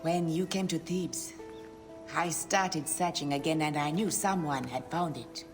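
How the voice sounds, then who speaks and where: Deep-voiced tired shopkeeper, guilty, music under it. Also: female voice. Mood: guarded. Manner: measured, low confiding tone with whispered drops. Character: weary guilt-ridden woman shopkeeper, guarded. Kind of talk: video game cutscene.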